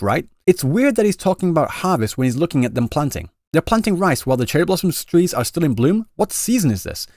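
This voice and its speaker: A man talking quickly and chattily in a commentary vlog, reading out translated comments and restarting phrases. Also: on the high side, darkish tone, no echo, clean studio sound. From Britain. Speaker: YouTuber explaining Japanese reactions.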